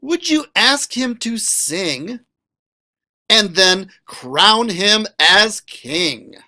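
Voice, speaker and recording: A man reading a children's picture book aloud as a school librarian, expressive and questioning, stretching out words for young listeners. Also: high voice, very slow.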